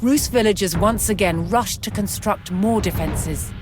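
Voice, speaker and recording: A narrator delivering scripted, documentary-style storytelling for a game in a measured, dramatic manner. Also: mid-range voice. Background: music.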